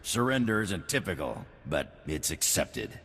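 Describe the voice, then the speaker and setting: An actor playing a stern male official of a hunting contest in a video game, formal and measured. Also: low-pitched, very animated, very noisy, heavily acted. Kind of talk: scripted game character acting. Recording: long hall reverb.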